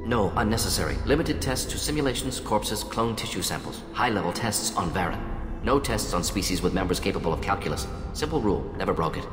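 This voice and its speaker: An actor playing an alien scientist in a video game, clinical and opinionated, speaking in clipped, analytical fragments. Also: male voice, on the low side, animated, a little fast, fairly bright tone. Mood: disdainful, principled. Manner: clipped telegraphic sentences, dropping small words, analytical. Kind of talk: video game character acting. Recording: echoey hall reverb, music behind. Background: game music.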